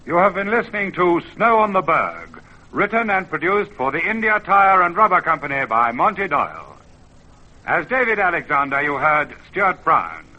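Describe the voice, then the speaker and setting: A man's voice: on the high side, very animated, normal speed, bright, forceful tone. A man giving a formal, declamatory radio sign-off and sponsor announcement in old-time style. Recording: dull vintage radio recording.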